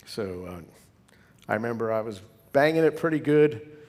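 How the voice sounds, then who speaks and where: A man speaks in a big reverberant space, his words trailing a long echo. He presents to fellow hobbyists as a club member would, informally and conversationally, drifting into asides. His pitch sits in an ordinary middle male range. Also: lively intonation, moderate pace, a little mellow.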